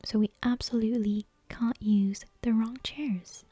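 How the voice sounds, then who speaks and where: A woman's voice: fairly high pitch, steady tone, measured pace, mellow, breathy edge, no echo. A woman voicing an earnest, stubborn little witch girl from a storybook in a whisper.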